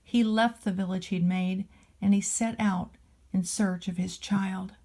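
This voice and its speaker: A woman narrating a children's storybook in a gentle, deliberate storytelling manner, as a nanny would to a small child. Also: mid-range voice, fairly even intonation.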